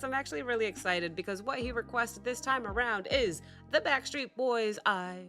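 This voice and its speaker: Excitable woman host, bright voice, recovering cold, soft music underneath. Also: a little high. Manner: chatty and excitable, rambling with fillers and restarts. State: sick with a cough, recovering voice. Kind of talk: casual chat to camera.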